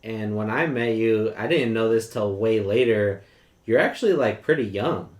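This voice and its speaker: Man, twenties, podcast host, laid-back, slangy interjections, lowish voice, echoey room. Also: moderate pace. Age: 20s.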